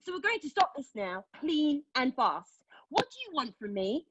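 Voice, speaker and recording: A woman playing a bitter, sardonic pregnant woman in a dramatic monologue, with an intense, deliberate delivery and a husky voice. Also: sits high, very animated, a bit bright, dry recording. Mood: angry, defiant. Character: anguished, bitter, sardonic pregnant woman telling her story. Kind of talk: dramatic monologue at a table read. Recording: slightly dull, lo-fi sound.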